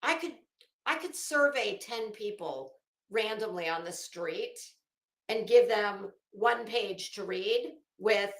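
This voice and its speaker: A woman's voice: medium pitch, slow pace. A woman hosting a livestream in casual chat and commentary, with a blunt, sarcastic, salty and emphatic way of talking. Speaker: livestream host. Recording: roomy, echoing home recording.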